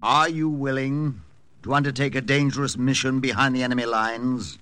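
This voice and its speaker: A male voice delivering an old radio show opening, slow, grave and emphatic, with a bright, forceful tone and a smooth, resonant voice. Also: mid-range voice, steady tone, no room sound. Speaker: announcer.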